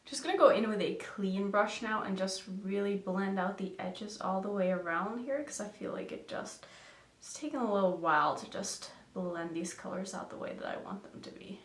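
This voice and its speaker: A young woman from Norway whose first language is Norwegian chats casually through a makeup tutorial. She thinks aloud and giggles often, at a mid pitch. Her voice rings with a roomy echo. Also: normal speed. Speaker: makeup vlogger. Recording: echoey room.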